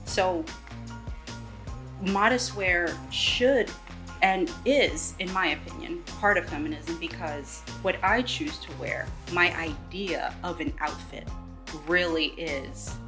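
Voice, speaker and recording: Animated late-twenties woman interviewee, slightly low pitch, over clear music. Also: slow pace. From the Bronx, New York City. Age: 20s. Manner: lively, animated when quoting others. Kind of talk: candid sit-down interview. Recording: music bed behind voice.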